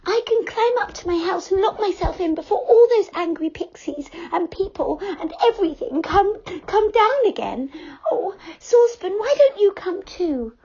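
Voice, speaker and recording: A woman voicing a gentle little fairy girl who speaks lightly and breathlessly in a very high voice. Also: animated, normal speed, hardly any pauses. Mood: hurried. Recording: dull lo-fi sound.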